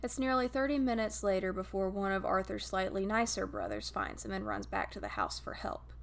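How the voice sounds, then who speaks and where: A woman's voice: mid-range voice, lively intonation, fairly quick, vocal fry. A woman reading a scripted story aloud as narrator. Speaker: podfic reader.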